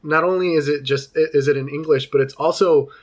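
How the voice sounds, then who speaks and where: A man's voice: on the high side, steady tone, a little fast. A man hosting an interview over video call, asking long, enthusiastic questions with fillers and restarts. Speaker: interviewer host.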